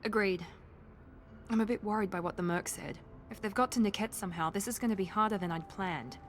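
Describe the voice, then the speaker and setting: A woman's voice: mid-range voice, a little fast, slight background noise, close to an everyday voice. An actress voicing a proud, guarded woman who is fiercely protective of her sister, speaking with controlled intensity in a video game. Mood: focused, businesslike.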